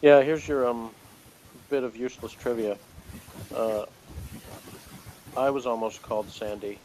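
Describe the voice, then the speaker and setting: A man's voice: mid-range voice, unhurried, a little mellow, slight background noise, rough recording. A man co-hosting a casual review chat, commenting in a dry, blunt way.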